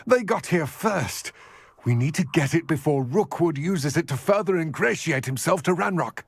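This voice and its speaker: An actor playing an old male goblin in a video game, with a gravelly, husky voice and an urgent, commanding manner. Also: on the high side, expressive, normal speed, no room sound, full character voice. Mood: alarmed. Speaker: quest giver. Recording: dry studio voice.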